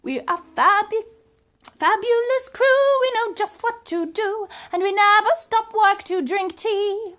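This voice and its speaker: Woman as a jaunty storybook monkey, high and bouncy. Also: slow pace, fairly bright tone, full character voice. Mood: proud, jolly. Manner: chanting bouncy rhymes, exclamatory. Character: skinny, jaunty, eager dancing monkey window cleaner.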